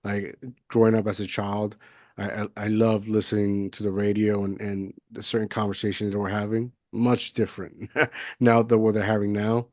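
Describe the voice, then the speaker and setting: Podcast host, man in his 30s from Puerto Rico; low, dry, rambling delivery. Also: level tone, normal speed, a little mellow, dry recording. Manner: rambling, lots of fillers and restarts. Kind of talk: casual conversational interview. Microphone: budget USB mic, video call.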